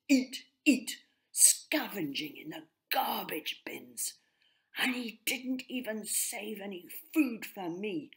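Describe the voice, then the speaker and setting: Woman voicing a scornful, breathy rook, big pitch swings. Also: a bit bright, heavily acted. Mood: scornful, indignant. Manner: scornful, emphatic, complaining. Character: greedy, bitter, scornful male rook bird. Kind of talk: children's storybook character reading.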